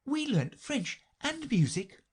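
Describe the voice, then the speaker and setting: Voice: medium pitch, big pitch swings, slow, breathy edge, pitched above the performer's own voice, heavily acted. A reader voicing Alice, a polite, curious young girl who asks earnest questions in a storybook reading.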